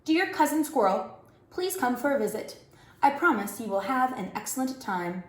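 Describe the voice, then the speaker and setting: A woman's voice: a little high, big pitch swings, normal speed, voice pushed up. A woman voicing a friendly, eager male squirrel in a children's storybook, reading out cheerful letters in a chirpy manner. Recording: roomy, echoing indoor sound.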